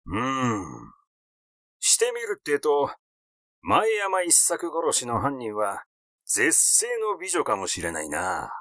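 A man voicing a flippant, cynical amateur sleuth in a story reading, rough and gleefully boastful in his talk. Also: medium pitch, wildly swooping pitch, slow, bright, forceful tone, no echo, studio quality, exaggerated voice. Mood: musing.

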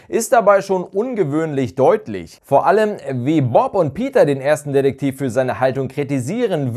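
A man's voice: on the high side, darkish tone. A man in his thirties narrating a semi-scripted review as an online reviewer, recorded indoors on a lapel mic. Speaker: YouTube reviewer of audio dramas. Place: small furnished living room or den indoors.

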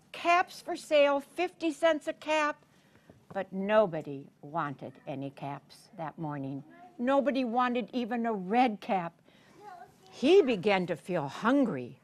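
An older woman telling a story to young children in a theatrical, deliberate way, drawing out words and putting questions to her listeners. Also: medium pitch. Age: 60s or older. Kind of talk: animated read-aloud storytelling to young children. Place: children's story room in a public library.

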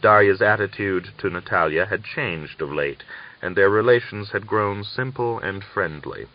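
A man reading a literary novel as an audiobook narrator, in an expressive storytelling style. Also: on the low side, moderate pace. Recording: dull lo-fi audiobook recording.

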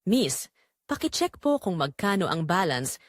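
A desperate wife weighed down by guilt, as a woman narrator acts her. She pleads with a trembling delivery and swooping, animated pitch in a romance audio drama, recorded dry. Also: a little slow, dry recording. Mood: anxious disbelief. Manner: pleading, trembling, faint to near-shouting.

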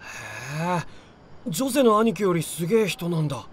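An actor playing a naive, loyal young stray tomcat, a rookie gang underling with boyish, slangy talk in a voice drama. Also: male voice, fairly high pitch, very animated, moderate pace, slightly rough. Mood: awed, excited. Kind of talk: Japanese voice drama acting.

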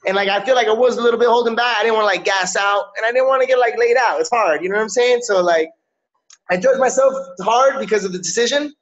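High-voiced fighter with street slang. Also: male voice, quick pace, a bit bright. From Miami. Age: 30s. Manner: streetwise slang, frequent "yo" and "you know what I'm saying". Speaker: MMA fighter, guest. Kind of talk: casual interview over video call. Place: indoors, small room. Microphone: video call webcam. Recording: slightly dull lo-fi call audio.

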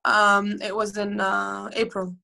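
Bright-voiced young woman from Egypt. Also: medium pitch, little pitch movement, takes it slowly, creaky. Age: 20s. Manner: chatty, with frequent fillers and restarts. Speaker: professional squash player, guest. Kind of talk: casual interview answers. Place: bright indoor room, at home. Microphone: phone or laptop camera on video call.

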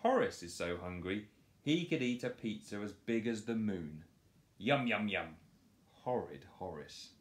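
A man in his thirties reading a picture book aloud to children in a playful, expressive storytelling style. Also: lowish voice, measured pace. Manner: animated, playful delivery. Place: small living room, on a sofa indoors. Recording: roomy home echo.

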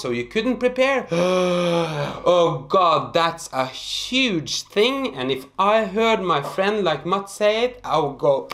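A man in his thirties from northern Norway with a Norwegian accent, explaining an expression to camera in an animated, hesitant way, in a small apartment room. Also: high-pitched. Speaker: host.

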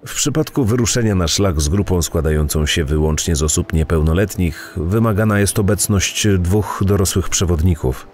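A man playing a formal, measured spokesman for an organization in a dramatized true-crime podcast. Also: deep voice, darkish tone, soft background music, natural voice.

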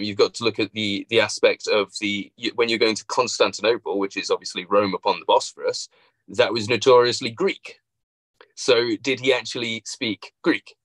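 A man's voice: lowish voice, fairly bright tone, dry recording, low-quality recording. A man as guest on a video call, explaining at length with "you know" fillers. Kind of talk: casual discussion on a video call.

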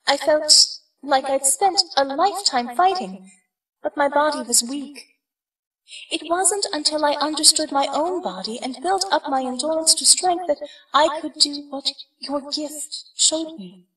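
A woman playing an earnest, reverent young heroine in an audio drama, with a solemn, deliberate manner. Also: high voice, a bit bright, far from the mic, rough recording. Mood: earnest, respectful.

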